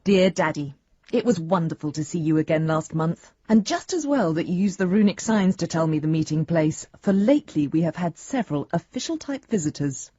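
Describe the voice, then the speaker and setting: An actress playing an earnest, affectionate young adult daughter, reading a letter in a chatty, sincere way for a radio drama. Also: female voice, on the low side, lively intonation, fairly quick, warm tone, breathy edge, no room sound, dull audio. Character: earnest, affectionate grown daughter writing to her father.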